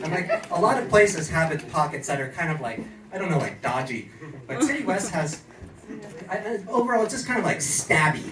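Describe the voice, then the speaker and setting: A man's voice: fairly high pitch, sing-song, a little fast. An American man from California performing a sardonic stand-up set in a bar's comedy club, punctuating his lines with "okay?". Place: comedy club in a bar, live audience. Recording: roomy distant club recording with crowd.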